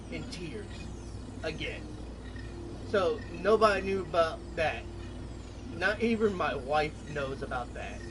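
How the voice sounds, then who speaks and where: Man chatting over gameplay, distant and noisy. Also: high voice. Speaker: gameplay streamer. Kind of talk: casual chat over gameplay. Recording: distant mic with clear background noise.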